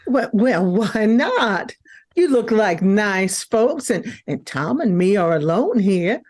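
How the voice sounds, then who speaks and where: Slow-talking, motherly older country wife woman acting. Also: medium pitch, big pitch swings, a little mellow. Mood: welcoming. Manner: homely, hesitant. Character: kindly older rural storekeeper's wife, motherly, welcoming. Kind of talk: old-time radio drama acting.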